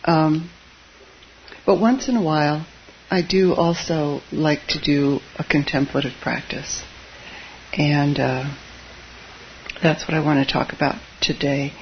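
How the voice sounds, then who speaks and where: A soft-voiced Zen teacher speaks to a gathered audience in a meditation hall, often pausing on fillers and slipping in dry little jokes. The voice sits at a middle pitch and drifts quiet and low. The recording is dull and lo-fi, with a faint hiss underneath. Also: fairly even intonation. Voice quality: soft voice that tends to drop low. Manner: hesitant, with frequent fillers and wry asides. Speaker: Zen teacher giving a dharma talk. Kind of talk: gentle, informal dharma talk.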